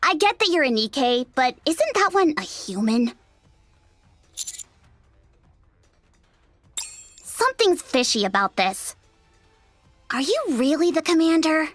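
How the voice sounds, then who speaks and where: A woman playing a brash, cheeky young female android soldier in a video game, sceptical but easygoing, with a sassy, expressive delivery. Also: high-pitched, normal speed, no room sound, soft background music. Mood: suspicious. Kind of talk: English video game voice acting.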